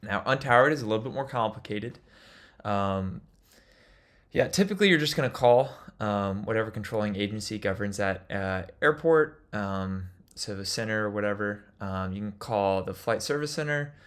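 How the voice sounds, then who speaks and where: A man's voice: on the low side, expressive, normal speed, fairly bright tone. A man giving an instructional lecture as a flight instructor, explaining things in an informal way.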